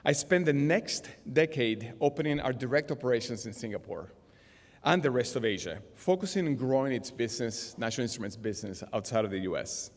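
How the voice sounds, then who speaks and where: A middle-aged man with a Venezuelan Spanish accent gives a formal commencement speech in a measured, deliberate way at a podium. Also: medium pitch, expressive. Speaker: graduation speaker, business executive. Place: large indoor auditorium stage. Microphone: podium gooseneck microphones. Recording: long hall reverb from auditorium PA.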